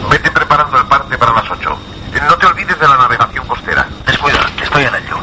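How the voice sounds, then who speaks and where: A man's voice: medium pitch, sing-song, fast talker, natural voice. An actor playing a male colleague at a weather observatory in a radio drama, friendly and casual. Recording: radio drama with clear background noise.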